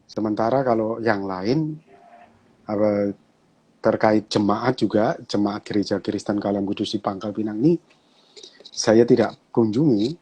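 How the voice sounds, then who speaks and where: A man with a Javanese accent, a pastor talking casually and relaxed in an online conversation, chatty and quick to laugh. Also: on the low side, slightly rough, poor audio. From Java, Indonesia.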